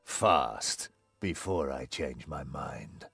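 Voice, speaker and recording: Casting: grim fantasy-game bloodhound of a man, extremely low, husky gravel, dryly menacing. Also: lively intonation, very slow, a bit bright, no echo, faint music behind, exaggerated voice. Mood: curt, menacing. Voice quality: deep gravelly rasp. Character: grim, menacing bloodhound enforcer with dry humour. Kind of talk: fantasy video game voice acting.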